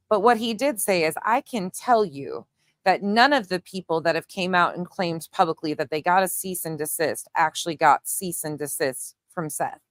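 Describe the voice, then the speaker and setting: A woman's voice: sits low, no room sound, clean studio sound. A woman hosting an opinionated online case commentary, speaking in a pointed, emphatic, argumentative way. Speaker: commentary show host.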